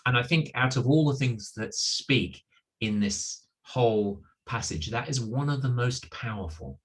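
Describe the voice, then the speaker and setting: A man's voice: medium pitch, animated, unhurried. A middle-aged man giving an earnest sermon, stressing and repeating his key points emphatically. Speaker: preacher at a church. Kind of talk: sermon, reflecting on a Bible passage.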